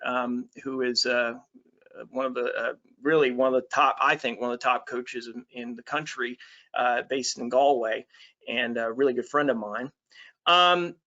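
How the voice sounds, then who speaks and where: A middle-aged man from Alabama presents a coaching talk in a friendly, easygoing way, with plenty of ums and uhs. His voice is fairly high-pitched and bright. The sound is dull and lo-fi, like an online call. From Alabama, USA. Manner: friendly, many fillers. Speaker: basketball coach presenting a webinar.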